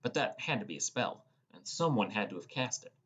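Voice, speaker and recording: A man's voice: lowish voice, big pitch swings, a little fast, fairly bright tone, slightly rough. A young man reading scripted first-person fantasy narration as a dramatic storyteller. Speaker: audiobook narrator.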